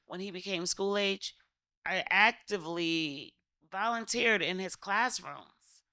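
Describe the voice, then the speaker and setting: Bright, clear-voiced American woman on a panel. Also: mid-range voice, takes it slowly, no room sound. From Connecticut, United States. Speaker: state legislator on a panel. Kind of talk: panel discussion, personal anecdote.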